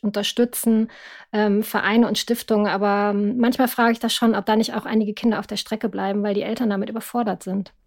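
A middle-aged woman giving a personal account as an interview guest, reflective and often pausing to search for words. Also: mid-range voice, little pitch movement, gravelly, a little mellow. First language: German.

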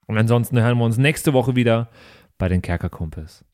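A man's voice: medium pitch, brisk, a little mellow. A man hosting a tabletop podcast in speech, informal and jokey as he chats and makes announcements. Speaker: player and podcast host. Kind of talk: casual improvised tabletop podcast chat.

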